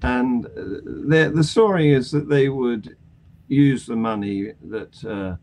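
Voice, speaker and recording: An older British man hosting a conversational news discussion over a video call, phrasing his points in a measured, deliberate way. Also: medium pitch, a little mellow. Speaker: panel discussion host. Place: small attic room at home.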